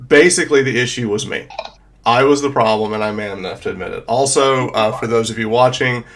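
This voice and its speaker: A man's voice: mid-range voice, fairly quick, fairly bright tone, slight background noise. Congested DM in his thirties, casual rambling recap, video-call desk mic. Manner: casual, rambling with many fillers and restarts. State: congested from a cold. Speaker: dungeon master. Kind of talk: game master recap of a tabletop campaign.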